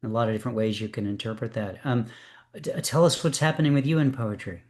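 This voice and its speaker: A man's voice: medium pitch, quick pace, darkish tone. A man casually hosting a poetry open reading, chatting in an encouraging way.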